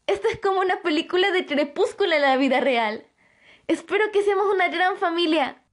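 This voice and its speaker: Woman doing a super high bubbly anime girl, gushy n giggly, voice all over the place. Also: expressive, a little fast. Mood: delighted. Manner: gushing, giggly. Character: bubbly, bold, excitable young girl among vampires. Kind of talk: amateur anime-style audio roleplay acting.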